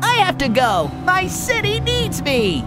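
An actor playing an eager, emotional garbage truck in a children's cartoon, excitable and dramatic. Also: very high-pitched, a little slow, over music, full character voice. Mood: moved, proud.

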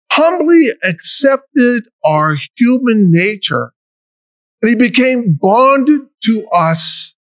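An older American deacon delivers a homily at a lectern in a large church, his voice sitting high for a man. He speaks slowly and deliberately, leaning into key words. The sound is close and dry. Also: dry recording. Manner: slow, measured preaching with emphatic rises. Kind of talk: sermon.

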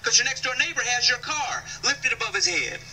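Man, 30s, from Chicago, stand-up comedian, high bright voice, playful, handheld mic, distant. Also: a little fast. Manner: animated, conversational, playful teasing of the crowd. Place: stage in a large indoor venue. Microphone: handheld microphone. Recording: distant stage mic, faint room noise.

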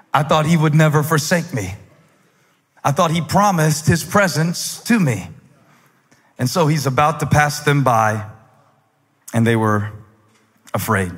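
A man in his forties preaching a sermon on a church stage into a handheld mic, telling a story and then building to loud, fervent emphasis. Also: a little high. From United States. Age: 40s. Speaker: pastor. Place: large stage in a church hall. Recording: long hall reverb.

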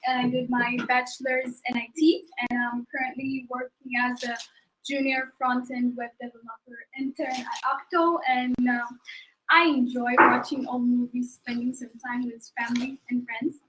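High bright-voiced woman from Ethiopia. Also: flat delivery, moderate pace, a little hiss or hum, low-quality recording. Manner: fluent, organized, a few ums. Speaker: junior developer presenting. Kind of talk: online presentation talk.

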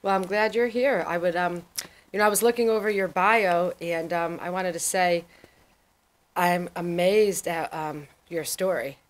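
Low-voiced woman hosting a studio interview. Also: a little slow. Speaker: talk show host. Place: small TV studio set, indoors.

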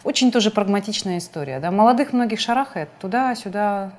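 A woman's voice: mid-range voice, expressive, fairly quick. A woman in her thirties hosting a studio interview in a conversational way. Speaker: interviewer, host. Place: TV studio, seated at desk. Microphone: lapel mic.

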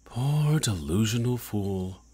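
A man's voice: lowish voice, expressive, slow pace, dark tone, breathy edge, heavily acted. An actor playing a condescending, scheming male villain in an audio drama, mock-courteous and theatrical. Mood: smug, mocking.

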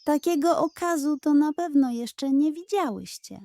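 A woman voicing a proud, chatty old hen in a children's storybook, boastful and clucking, coy when flattered. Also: high-pitched, a little slow, no room sound, pitched above the performer's own voice.

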